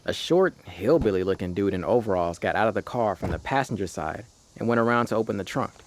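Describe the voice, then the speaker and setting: An actor playing a sardonic ghost who narrates a first-person audio drama in a wry, folksy storytelling manner. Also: male voice, fairly low pitch, lively intonation, quick pace. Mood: wry, observing. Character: sardonic male ghost narrating his own haunting.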